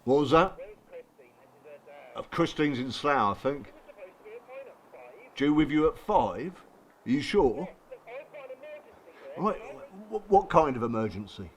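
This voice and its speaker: An actor playing a blunt, bruised working-class support group regular in a radio drama, colloquial, sardonic and probing. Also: male voice, medium pitch. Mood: irritated. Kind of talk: naturalistic radio drama acting.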